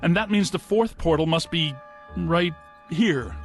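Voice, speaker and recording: A man voicing a literal-minded, brainy scientist in a video game cutscene, deadpan and clinically precise. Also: on the high side, measured pace, faint music behind.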